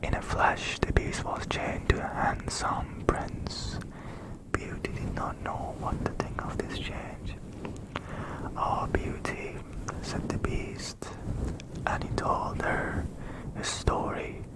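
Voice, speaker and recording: A storyteller whispering in a breathy, unvoiced voice, gently delivering an ASMR bedtime story. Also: rough recording. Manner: slow, hushed, gentle delivery. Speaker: ASMR storyteller and channel host.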